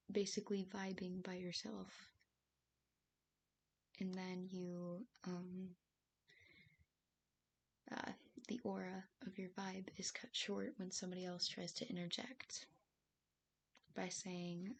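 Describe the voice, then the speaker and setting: A woman with a raspy voice streaming as she writes, thinking aloud hesitantly with many fillers and soft laughs. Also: fairly low pitch. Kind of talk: casual live-stream musing while writing. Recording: faint hiss behind the voice.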